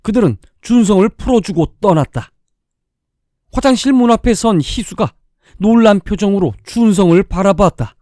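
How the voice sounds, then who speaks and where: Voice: mid-range voice, lively intonation, moderate pace, dark tone, no echo, clean studio sound. A storyteller reading a dramatic science-fiction tale in a scripted narrating style. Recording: music under the voice. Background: background music.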